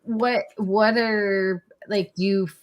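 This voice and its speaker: A woman's voice: mid-range voice, steady tone, slow pace, muffled recording. A woman chatting casually as a podcast guest, a little tentative, often saying "like". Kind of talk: casual chat.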